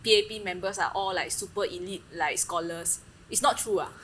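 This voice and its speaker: A woman's voice: fairly low pitch, fairly even intonation, a little fast, fairly bright tone, slight background noise, rough recording. A woman with a Singaporean accent co-hosting a podcast, asking blunt, cheeky questions in colloquial Singlish. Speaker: podcast co-host. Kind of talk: casual podcast chat.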